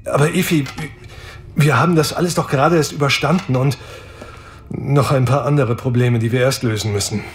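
A man's voice: medium pitch, normal speed, slightly rough. A man playing an earnest young space hero who leads his crew, speaking steadily in an audio drama. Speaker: spaceship captain.

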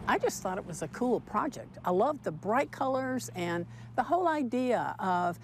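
A woman's voice: fairly high pitch, sing-song, moderate pace, slight background noise. A woman in her sixties answering interview questions outdoors with a lapel mic, plain and friendly with small fillers. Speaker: interviewee, local quilter. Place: outdoors in a rural yard.

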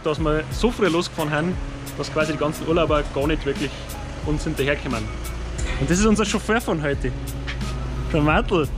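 A man, joking and chatting cheerfully to a handheld action camera on a road trip. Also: a little high. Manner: cheerful, joking, laughs often. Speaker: vlog host. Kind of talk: casual chat to camera. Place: outdoors at a petrol station and inside a van.

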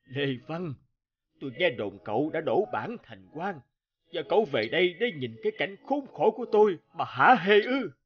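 A man's voice: fairly high pitch, sing-song, dull audio. Old father, swooping, sorrowful. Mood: bitter. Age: older. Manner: halting, sorrowful, emotional. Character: lonely, bitter, remorseful old father in a village. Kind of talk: animated drama voice acting.

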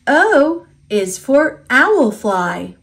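A woman with an American accent reading an alphabet picture book aloud for toddlers, enunciating simply and deliberately. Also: high-pitched, big pitch swings, fairly bright tone.

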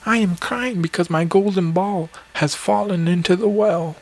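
A man's voice: high voice, moderate pace, pitched above the performer's own voice. A man voicing a haughty, spoiled young princess in a storybook fairy tale, petulant and girlish. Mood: tearful, pleading. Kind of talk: acted storybook dialogue.

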